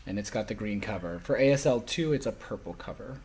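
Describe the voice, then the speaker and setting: A man voicing a classroom lecture as an interpreter, speaking emphatically in halting phrases with stressed key words. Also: fairly low pitch, lively intonation, darkish tone, breathy edge.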